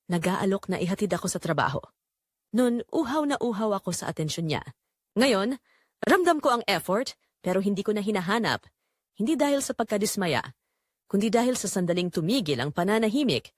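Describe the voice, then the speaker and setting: Audio drama lead: actress as middle-aged Filipina wife and mother, reflective and resolute, lively intonation, dry close sound. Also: medium pitch, no echo. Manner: reflective, earnest, measured confessional phrasing. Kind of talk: scripted first-person audio drama narration.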